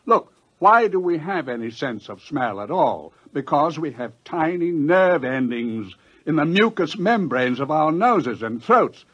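An actor in an old radio drama playing an impatient, matter-of-fact scientist who explains things emphatically on a lo-fi recording. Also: male voice, fairly high pitch, big pitch swings, unhurried, a bit bright, slightly rough, exaggerated voice. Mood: impatient, grimly certain. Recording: dull lo-fi old radio sound.

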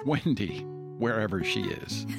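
A man's voice: on the low side, expressive, measured pace, gravelly. An older man hosting a podcast, reading scripted narration. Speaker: podcast host. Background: guitar music.

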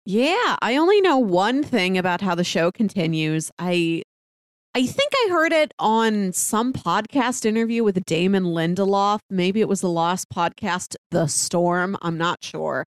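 A female podcast co-host chats casually in a mid-pitched voice. The recording is dry, with no room sound around her. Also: expressive, unhurried, no echo.

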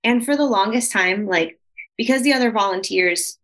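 A woman from the United States co-hosting a podcast, telling stories lively and mixing in Spanish words. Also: normal speed. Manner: lively storyteller, slips into Spanish, pitch leaps when quoting. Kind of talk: casual chat.

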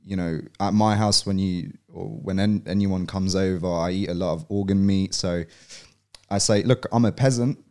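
A young man from Poland, a podcast guest, talking in a relaxed way and often pausing with "um" and "you know". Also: sits low. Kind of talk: casual podcast conversation.

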